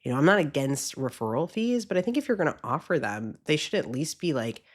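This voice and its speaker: A woman's voice: low voice, sing-song, a little fast, breathy edge. A woman in her thirties hosting a podcast in a casual solo talk, upbeat and chatty, a little sniffly from a cold. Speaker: podcast host.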